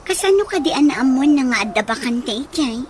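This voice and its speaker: An actress playing a friendly, eager young nurse looking for work in a radio drama. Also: female voice, high-pitched, lively intonation, fairly quick, crowd noise. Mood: hopeful. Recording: noisy, with ambient sound.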